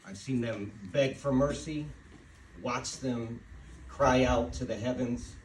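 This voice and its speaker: Actor as awestruck, haunted male soldier on stage. Slow, halting delivery, mid pitch, roomy recording with faint noise. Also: lightly acted. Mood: grave, haunted. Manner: halting, repeating phrases for emphasis. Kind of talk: dramatic Easter monologue before a congregation. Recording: echoey room, distant quiet mic, noise.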